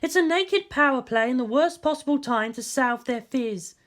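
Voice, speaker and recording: A woman voicing a cool, cynical, analytical female advisor in a story, measured and matter-of-fact. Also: sits high, lively intonation.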